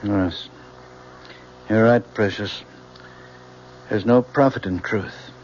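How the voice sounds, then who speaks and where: An American actor playing a stern, principled father in a 1970s radio drama, speaking in a measured, moralizing way. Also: on the low side, a little mellow. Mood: grave, disapproving. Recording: muffled vintage radio broadcast with faint hiss.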